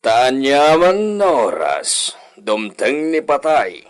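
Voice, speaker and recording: A man acting as an ageing Filipino hacienda master in a radio drama, grave and deliberate as he speaks. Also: mid-range voice, huge pitch swings, clear, resonant tone. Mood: solemn.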